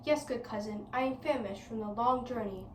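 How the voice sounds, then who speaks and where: A woman's voice: on the high side, moderate pace, lightly acted. A woman playing an earnest character in a 1940s radio play. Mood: regal. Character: eager speculating woman, also a regal guest. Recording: roomy, distant, faint noise.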